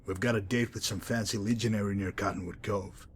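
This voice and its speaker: A man playing a cold, cynical former pit fighter and gang enforcer in a video game, speaking in a calm, wry, articulate and menacing way. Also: lowish voice, fairly quick, a little hiss or hum, close to an everyday voice. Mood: coolly impressed. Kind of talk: video game character dialogue.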